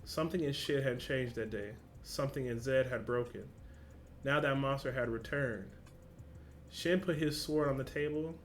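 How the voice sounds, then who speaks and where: A man's voice: mid-range voice, moderate pace, slight background noise. A man with a casual, slangy, informal way of talking, hosting a reaction video and reading along.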